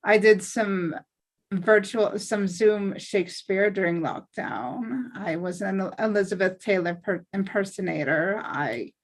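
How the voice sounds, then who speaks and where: A woman with a mid-pitched voice talks casually in a group. She is chatty and rambles a little as she goes. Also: unhurried. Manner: chatty, rambling, listing her credits. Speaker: actor, table read participant.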